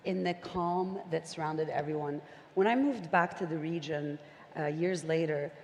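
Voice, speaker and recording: A woman's voice: sits low, a little mellow. A middle-aged woman from Syria and Saudi Arabia speaking reflectively as a panel guest about her own life. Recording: reverberant hall with background noise.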